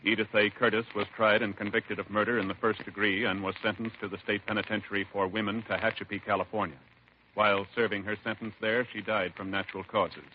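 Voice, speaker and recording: A male announcer reads formally and briskly in vintage radio style. His voice is fairly low. The recording is dull, with clear hiss. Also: little pitch movement. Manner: formal, official. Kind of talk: 1950s radio announcing, scripted. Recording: muffled, hissy 1950s radio recording.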